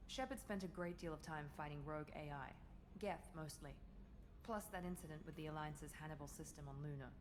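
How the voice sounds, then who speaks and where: A woman's voice: low voice, fairly quick, background noise, natural voice. A woman playing a businesslike operative in a video game, assured, formal and matter-of-fact. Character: cool, businesslike female operative briefing her commander. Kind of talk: video game dialogue acting.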